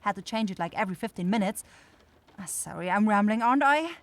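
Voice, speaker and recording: A woman's voice: medium pitch, animated, fast talker, lightly acted. A woman voicing a caring young forest witch in a fantasy ASMR roleplay, nervous, rambling and apologetic. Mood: relieved, caring.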